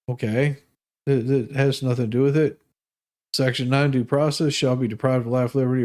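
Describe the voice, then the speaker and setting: A man's voice: a little high, a little mellow. A man hosting a live stream, reading documents aloud and adding off-the-cuff, sardonic and mocking commentary.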